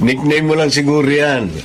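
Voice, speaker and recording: A man playing a genial, grateful well-off man in a Filipino radio drama, brisk and conversational. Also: on the high side, slight background noise.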